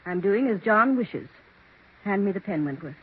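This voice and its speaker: An actress playing a resolute family matriarch in a 1940s radio mystery. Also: female voice, mid-range voice, animated, normal speed, lightly acted. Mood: firm. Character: resolute family matriarch, an aunt handling a will. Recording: tinny, noisy 1940s radio recording.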